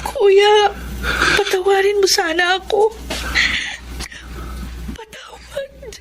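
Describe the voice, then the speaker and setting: A woman's voice: very high-pitched, steady tone, slow, soft background music. A woman playing a guilt-ridden young sister and new teacher in a radio drama, hesitant and pleading. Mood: guilty, pleading. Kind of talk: Filipino radio drama acting.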